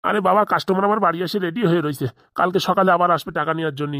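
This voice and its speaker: A man's voice: high voice, very fast, a bit bright, no room sound, studio quality. A man voicing a scheming, boastful elderly village father-in-law in a comedy cartoon, insistent and wheedling.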